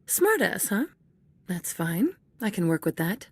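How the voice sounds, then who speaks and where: A woman's voice: mid-range voice, big pitch swings, unhurried, breathy edge, full character voice. A woman playing a cool, knowing, teasing character with a hidden agenda in a video game. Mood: sly. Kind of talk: video game dialogue acting.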